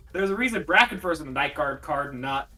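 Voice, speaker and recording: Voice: mid-range voice, expressive, moderate pace, bright, forceful tone, distant mic, faint music behind, rough recording. A livestream cast member chatting casually, recorded a bit away from the microphone. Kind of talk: casual chat.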